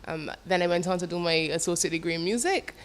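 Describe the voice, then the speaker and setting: A woman's voice: lowish voice, fast talker. A woman from Barbados with a Bajan accent, a musician recounting her career as an interview guest.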